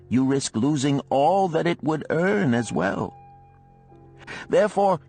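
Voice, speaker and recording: Man playing a slow, solemn old gold lender. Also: mid-range voice, darkish tone. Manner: solemn, deliberate, archaic sermonizing. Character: wise, cautious, older male gold lender of ancient Babylon. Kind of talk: storybook character reading.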